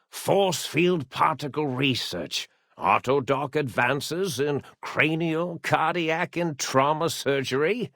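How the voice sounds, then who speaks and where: A grave old storyteller narrating a video game's history. He speaks slowly and deliberately in a gravelly voice, very close and dry. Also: mid-range voice, dry recording, heavily acted. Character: solemn old storyteller recounting a lost science facility's history. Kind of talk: scripted narration for a video game.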